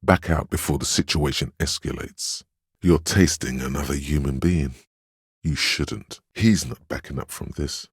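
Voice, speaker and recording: An extremely low, grainy male inner voice of willpower from a role-playing game. It is steadfast and grave, counselling in measured, reassuring phrases. The sound is close and dry, with a husky edge. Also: no room sound, heavily acted. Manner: measured, solemn, encouraging counsel. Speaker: video game voice actor.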